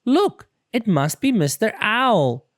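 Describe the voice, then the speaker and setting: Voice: mid-range voice, sing-song, slow, no echo, clean studio sound, voice pushed up. A storybook reader voicing an earnest, eager and curious young boy turtle with exclamatory delivery. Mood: excited. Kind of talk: children's storybook character voice.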